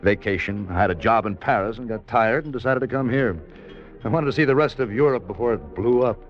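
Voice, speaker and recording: An actor with an American accent playing a dry, casual secret agent in a 1950s radio drama. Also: male voice, lowish voice, fairly quick, natural voice. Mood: casual, affable. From United States (Vermont). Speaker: lead actor and first-person narrator. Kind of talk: 1950s radio drama acting, hard-boiled narration. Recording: dull 1950s radio recording, music behind.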